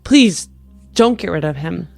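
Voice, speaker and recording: A woman's voice: lowish voice, lively intonation, unhurried, gravelly, darkish tone, no room sound, soft background music. A woman playing a tabletop game, improvising dialogue in character.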